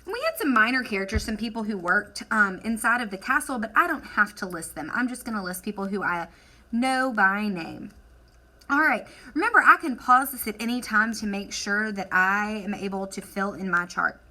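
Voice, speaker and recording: A woman teacher leading an instructional lesson for children, asking questions, encouraging answers and dictating deliberately as she writes. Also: medium pitch, expressive, bright, forceful tone. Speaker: elementary school teacher.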